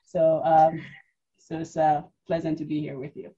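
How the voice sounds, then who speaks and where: A woman in her mid-forties speaks as a podcast guest, telling her own story in a husky, mellow low voice. She is reflective and pauses often with fillers and restarts. The recording sounds dull and lo-fi. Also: flat delivery. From Democratic Republic of the Congo, raised in New York. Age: 40s. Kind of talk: personal story in an interview.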